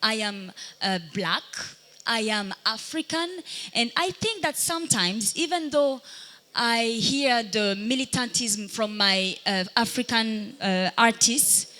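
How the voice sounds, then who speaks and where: Woman speaking with halting reflection. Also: on the high side, expressive, sharp tone. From Burundi. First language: Kirundi. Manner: halting and reflective, pausing to search for words. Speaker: dancer and panelist. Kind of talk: live panel discussion answering audience questions.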